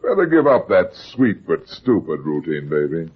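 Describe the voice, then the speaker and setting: An actor playing a brusque, sardonic, wisecracking private detective in a vintage radio drama. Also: male voice, sits low, big pitch swings, normal speed, breathy edge, exaggerated voice. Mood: needling. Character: hard-boiled, wisecracking male private detective. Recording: muffled vintage radio recording.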